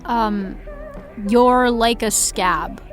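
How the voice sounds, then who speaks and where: An actress playing a gloomy, weary young woman with a flat, deadpan delivery. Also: fairly high pitch, very slow, soft background music.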